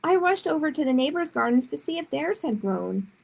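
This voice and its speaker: A woman's voice: sits high, very animated, a little fast, gravelly. A woman reading a children's story aloud expressively, with short explanatory asides to young listeners.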